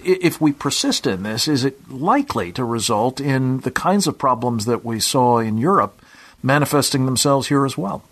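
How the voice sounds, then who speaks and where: A man hosting a radio talk show, asking long, deliberate questions with pauses and hesitations. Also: mid-range voice, fairly bright tone.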